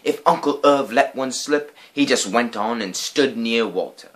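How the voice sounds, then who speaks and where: A man's voice: medium pitch, measured pace. A man giving a theatrical, mock-solemn dramatic reading of a children's storybook as host. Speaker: reader and host of a home reading show.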